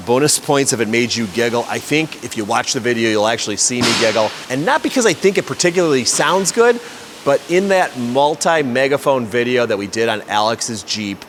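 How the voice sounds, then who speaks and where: A man's voice: medium pitch, a bit bright, slight background noise. A man hosting a casual question-and-answer explainer, talking in a conversational, explanatory way like a shop fabricator. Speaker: host, exhaust shop fabricator.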